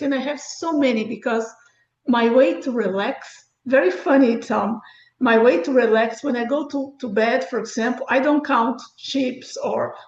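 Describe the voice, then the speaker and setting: A middle-aged woman from Brazil, a native Portuguese speaker with a Brazilian accent, chatting enthusiastically as a guest author. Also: high voice. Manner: enthusiastic, frequent restarts and laughs. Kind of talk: casual interview chat. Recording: echoey, dull lo-fi sound.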